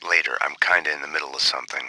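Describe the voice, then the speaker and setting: A very deep, brooding noir detective. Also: male voice, very fast, gravelly, a little hiss or hum, exaggerated voice, poor audio. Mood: terse, brooding. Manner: terse, fatalistic, deadpan. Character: brooding, world-weary middle-aged male detective narrating his own downfall. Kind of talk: noir monologue and game dialogue. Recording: dramatic music under the voice.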